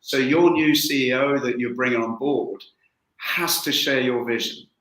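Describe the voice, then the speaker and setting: A man's voice: mid-range voice, fairly bright tone. Emphatic man coach, echoey room. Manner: emphatic, repeats for effect, fast bursts. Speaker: transformational coach. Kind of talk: webinar panel discussion.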